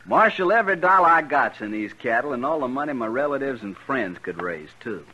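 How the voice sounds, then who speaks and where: An actor playing a proud, embittered Texan cattle boss in a 1950s western radio drama, with a folksy, plain-spoken manner. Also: male voice, mid-range voice, lively intonation, brisk, bright, forceful tone. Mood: stubborn, worried. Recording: muffled, noisy vintage radio recording.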